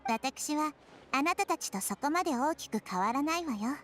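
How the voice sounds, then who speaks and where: A little girl deity from a video game, very high and quick in speech. She is gentle, wise and composed, speaking thoughtfully over faint music. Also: expressive. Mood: calm, thoughtful.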